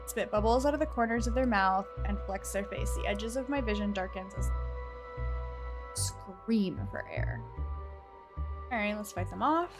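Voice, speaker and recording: A woman reading a visual novel aloud as a game streamer, with expressive, theatrical delivery. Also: mid-range voice, unhurried, pauses often, music in the background. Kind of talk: dramatic narration of a visual novel with casual asides.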